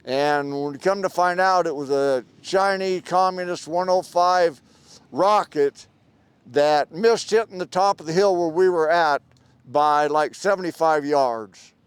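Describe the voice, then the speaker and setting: Older American man, veteran, rambling storyteller, smooth, clear tone. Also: a little high, no echo. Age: 70s. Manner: rambling, plainspoken, with pauses and fillers. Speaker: veteran interviewee. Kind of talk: personal storytelling. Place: outdoor park event.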